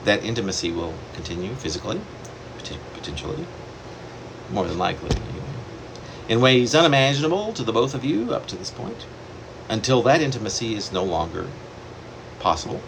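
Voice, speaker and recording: A man reading his own poem aloud in a measured, reflective way, pausing deliberately between phrases. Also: fairly low pitch, vocal fry. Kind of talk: poetry reading. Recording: steady air-conditioner hum behind the voice.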